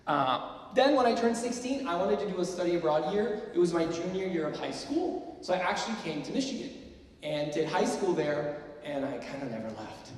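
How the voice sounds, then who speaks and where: A man's voice: fairly high pitch, animated, brisk. A man in his forties from Sweden, presenting a workshop to music students in a chatty, self-deprecating way with plenty of fillers. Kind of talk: casual lecture to students. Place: lecture hall. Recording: roomy hall, mic far off.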